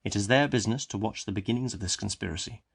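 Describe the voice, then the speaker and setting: Man playing a solemn, gravelly policeman. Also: on the low side. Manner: slow, measured and solemn. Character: calm, solemn educated male policeman. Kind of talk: audiobook character reading.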